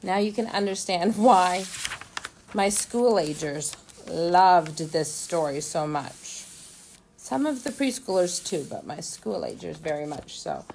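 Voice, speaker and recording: A woman reading a children's storybook aloud and adding casual, unhurried commentary of her own. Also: lowish voice, animated, creaky, a little mellow, slight background noise, low-quality recording.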